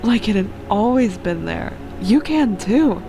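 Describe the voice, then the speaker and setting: A woman's voice: medium pitch, animated, measured pace, poor audio. A woman voicing a serene, earnest mystical guru from a game, preaching self-discovery. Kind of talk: amateur game character voicing. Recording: music under the voice.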